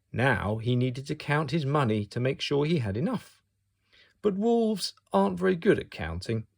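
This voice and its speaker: Slow, very animated male storyteller. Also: medium pitch, creaky. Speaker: children's storyteller. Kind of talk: expressive picture-book reading for young children.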